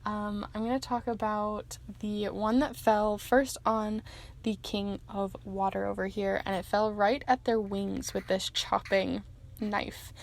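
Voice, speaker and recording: Young woman going hoarse, gravelly fry, soft rambly card reading w/ lots of ums. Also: mid-range voice, little pitch movement, measured pace. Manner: gentle, meandering with frequent ums. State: losing her voice. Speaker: tarot card reader. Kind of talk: pick-a-card divination reading.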